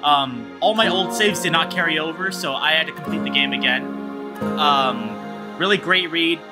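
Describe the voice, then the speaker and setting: An upbeat, excitable man hosting a visual novel playthrough, talking to his viewers as he goes. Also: on the high side, moderate pace. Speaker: host of a video game let's-play channel. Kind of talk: enthusiastic visual novel playthrough commentary with dramatic read-aloud narration. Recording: music playing behind the voice.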